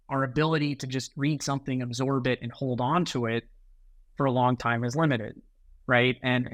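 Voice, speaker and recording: A middle-aged man with an American accent talks through his answers in an easy, conversational way, often restarting words and tagging on "right?". His voice sits at an ordinary pitch. The sound is dry and close, with no room echo. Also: fairly bright tone. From United States. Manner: conversational, repeats words and tags sentences with "right?". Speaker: guest, sales executive. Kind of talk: podcast interview answers.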